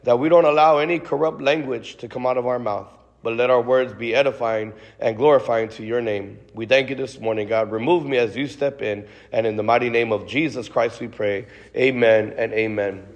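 A man's voice: medium pitch, fairly even intonation, brisk, muffled recording. A man preaching a sermon and praying aloud in a casual, conversational way, full of restarts, with "God" and "Lord" tags. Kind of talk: sermon with spoken prayer. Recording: long reverb of a large hall.

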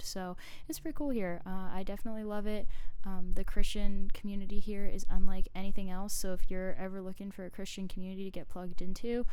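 Canadian woman, early twenties, podcast host; smooth, clear voice, friendly rambling chat. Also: medium pitch, darkish tone, low-quality recording. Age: 20s. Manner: chatty, friendly, many ums and little laughs. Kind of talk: casual solo podcast chat.

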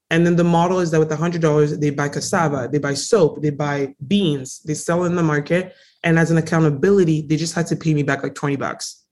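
A young adult woman from Congo, a native French speaker, telling her story as an interview guest in a casual, emphatic and animated way. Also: low voice. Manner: emphatic, animated, frequently stresses words. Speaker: guest entrepreneur.

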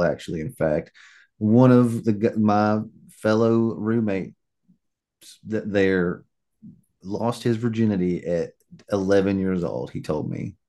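A man from Alabama, co-hosting a podcast in casual, slangy, halting chat. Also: fairly low pitch, darkish tone. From Alabama, United States. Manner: slangy, laid-back, halting. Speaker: podcast co-host.

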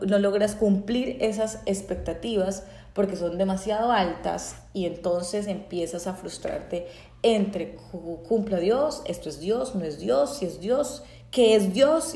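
A woman's voice: mid-range voice, dark tone, roomy sound. A Colombian woman from Santander sharing a candid, reflective personal testimony, with frequent fillers and restarts.

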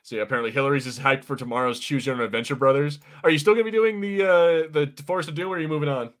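A man's voice: mid-range voice, racing, a bit bright. A man co-hosting a podcast, giving casual watch-party commentary in a chatty, slangy way with some swearing. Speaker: podcast co-host.

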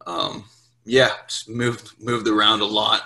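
A young man talks casually as an interview guest. His voice is bright and forceful, pitched a bit low. He speaks informally, often checking in with a quick "right?". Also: level tone, poor audio. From Brazil, later Portugal, England and Louisiana. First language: Portuguese. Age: 20s. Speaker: podcast guest, US Marine sergeant.

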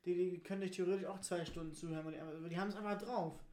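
A woman's voice: fairly low pitch, steady tone, racing, mellow, reverberant. A woman co-hosting a podcast, chatting casually in a blunt, opinionated and emphatic way. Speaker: podcast co-host. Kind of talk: casual conversational podcast chat.

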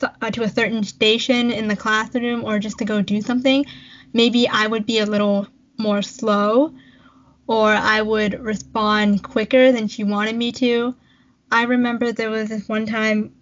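A woman's voice: fairly high pitch, muffled recording. A young woman whose first language is Cantonese, co-hosting a podcast in casual chat and telling a personal story with frequent laughs. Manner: chatty, laughs often, storytelling. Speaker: podcast co-host.

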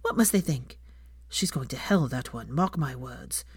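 A woman's voice: extremely low pitch, animated, unhurried, husky, no echo, studio quality, voice pushed down, full character voice. Woman doing a sanctimonious, judgmental gossip. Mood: scandalized. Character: gossiping, sanctimonious churchgoing busybody, scandalized and judgmental.

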